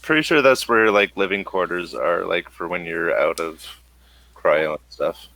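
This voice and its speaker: A man with a gravelly voice narrating casually as the game master of a tabletop game. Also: low voice, moderate pace, sharp tone, no room sound, rough recording.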